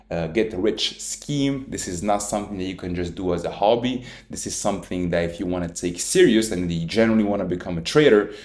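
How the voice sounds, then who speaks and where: Earnest young man, low voice, echoey room. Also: darkish tone. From Italy. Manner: earnest, repetitive, self-taught English with small slips. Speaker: trading educator. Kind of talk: casual talking-head lecture.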